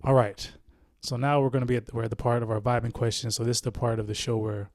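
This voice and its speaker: A man's voice: mid-range voice, flat delivery, fast talker, darkish tone, dry recording, clean studio sound. A man hosting a podcast interview, laid-back and chatty, with lots of fillers and tag questions. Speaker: podcast host. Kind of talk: casual interview chat.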